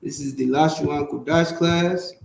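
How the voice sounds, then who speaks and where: A man with a fairly high voice teaching slowly, pausing often to check that his students follow along. He sounds off from the mic in a very echoey room. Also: steady tone, gravelly, recorded from a distance, slight background noise. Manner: slow, repetitive, checks in with "all right" and "you understand". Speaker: Hebrew class teacher. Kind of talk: classroom teaching over a chart.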